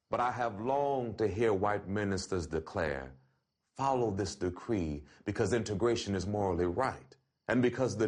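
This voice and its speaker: Low-voiced man reading formally. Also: a little mellow. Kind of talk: reading an open letter aloud.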